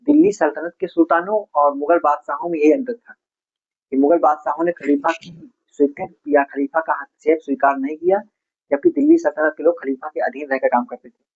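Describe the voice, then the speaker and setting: A man's voice: on the high side, very animated, brisk, darkish tone, slightly rough, highs cut off. A man teaches an exam lesson in question-and-answer form, explaining each answer in a didactic way. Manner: didactic, explanatory, addresses listeners as friends. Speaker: history teacher for exam preparation.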